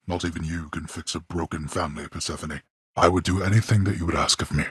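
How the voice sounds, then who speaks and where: An actor playing a stern, scornful old god-king of the underworld in a video game, pompous and imperious, with a gravelly voice and formal, archaic phrasing. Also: low voice, fairly even intonation, quick pace, fairly bright tone, no room sound, clean studio sound, full character voice. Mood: regretful, solemn. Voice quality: gravelly, husky. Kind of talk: video game character acting.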